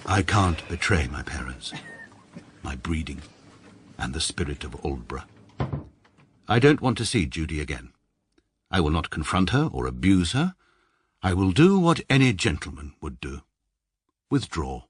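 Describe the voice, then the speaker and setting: A very deep, pompous English gentleman. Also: very animated, normal speed, long pauses, noisy background. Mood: stiffly resolute. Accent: upper-class English. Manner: formal, pedantic, precisely worded. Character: pompous, emotionally detached English barrister narrating his life. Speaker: narrator and lead character. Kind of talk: scripted first-person radio drama narration.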